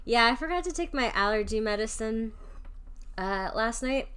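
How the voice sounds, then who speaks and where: A woman's voice: fairly high pitch, lively intonation, normal speed, fairly bright tone, clean tone. A young woman streamer, recovering from sickness, giving casual, playful reaction commentary on a game in slangy speech. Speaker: streamer, vtuber.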